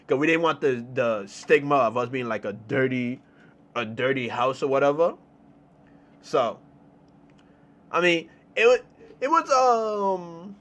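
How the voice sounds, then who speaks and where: A young man with a New York accent telling a story in a casual, slangy, animated way, repeating phrases for emphasis. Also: mid-range voice. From New York City, United States. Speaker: storytelling streamer.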